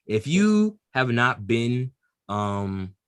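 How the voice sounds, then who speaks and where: A man co-hosting a casual podcast, lively and loaded with fillers. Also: mid-range voice, extremely slow, clean tone, dry recording. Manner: lively, hesitant with many fillers. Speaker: podcast co-host. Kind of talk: casual chat.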